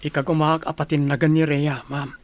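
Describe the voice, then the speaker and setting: An actor playing an earnest, pleading young village suitor in a radio drama, with an Ilocano accent. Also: male voice, a little high, little pitch movement, a little fast, close to an everyday voice. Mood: earnest, respectful.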